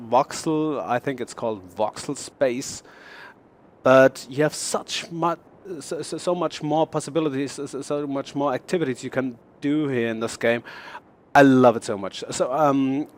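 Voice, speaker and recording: A young German man with a German accent, speaking English as a second language, casually showing off his build in a game. He talks haltingly, with many fillers and repeated words. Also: mid-range voice. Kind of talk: casual game showcase commentary.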